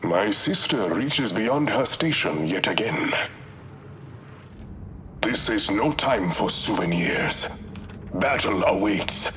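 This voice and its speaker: A man's voice: on the low side, animated, slow, pauses often, fairly bright tone, a little hiss or hum. An actor playing a dignified, resolute warrior king in a video game, formal and solemn. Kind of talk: game voice acting, formal diction.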